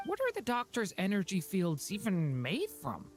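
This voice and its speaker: A woman voicing an eager, earnest and innocent young boy in a video game, with an expressive, wide-eyed manner. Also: medium pitch, moderate pace. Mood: curious, earnest. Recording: game music behind.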